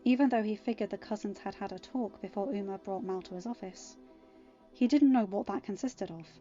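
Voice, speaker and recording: A woman reading scripted fiction as an expressive storyteller. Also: medium pitch, fairly quick. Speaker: fan fiction narrator. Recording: dull lo-fi audio. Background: faint music.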